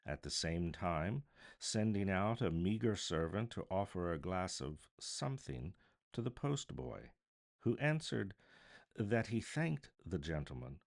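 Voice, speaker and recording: A man narrating a classic story as a voice artist, theatrical, deliberate and expressive. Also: low voice, creaky. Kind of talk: dramatic literary storybook narration.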